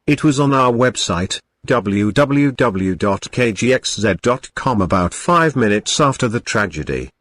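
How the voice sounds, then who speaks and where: A man playing a formal local television news correspondent, reading a scripted morning report in a measured way. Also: medium pitch, lively intonation, fairly bright tone, dry recording. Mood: formal, serious.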